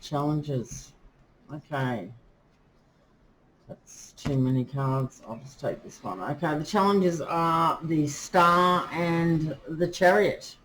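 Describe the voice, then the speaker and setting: A woman giving a casual tarot reading, chatty and full of fillers, pausing to ask her spirits and guides as she draws cards. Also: sits low, fairly bright tone, rough recording. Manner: chatty, many fillers, talks to spirits while drawing cards. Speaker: tarot reader. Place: indoors with rain outside. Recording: faint rain noise behind.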